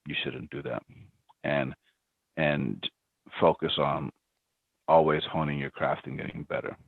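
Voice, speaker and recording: A man's voice: extremely low pitch, steady tone, a little slow, gravelly, slightly rough, no room sound. A man in his thirties, a startup founder, answering interview questions reflectively, with many ums and restarts. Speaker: podcast guest, startup founder. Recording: dry, phone-like remote line.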